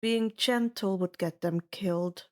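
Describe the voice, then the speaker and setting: Woman putting on a lowered, gruff, jaded man. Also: measured pace, darkish tone, natural voice. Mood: blunt, grim. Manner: blunt, weary. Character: gruff, jaded man running a hero camp.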